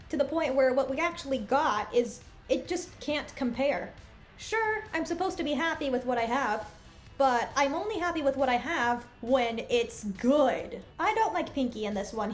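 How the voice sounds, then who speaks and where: Woman, high voice, conversational and opinionated cartoon reviewer, candid and critical, faint music underneath. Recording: music bed behind the voice. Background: background music.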